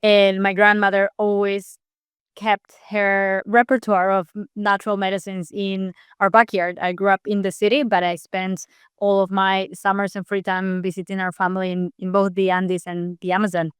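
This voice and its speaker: A woman from Peru speaks with a very clean, smooth voice, recorded dry and close with no room sound. She is a scientist answering interview questions, explaining at length with occasional restarts. Her delivery stays earnest and steady. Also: mid-range voice, normal speed, dry recording. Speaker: scientist guest.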